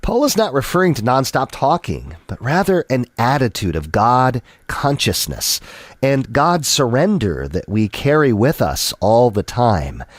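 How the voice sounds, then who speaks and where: Man, middle-aged, animated intonation, scripted radio voiceover, dry close studio mic. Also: mid-range voice, measured pace, no room sound. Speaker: radio program announcer. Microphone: studio microphone.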